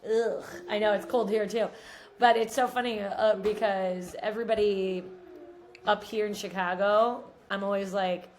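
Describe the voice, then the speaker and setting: Woman, thirties, American, chatty podcast co-host with an emphatic, informal storytelling style and an average pitch. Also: fairly even intonation, normal speed, faint music behind. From Missouri, United States. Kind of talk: casual catch-up chat.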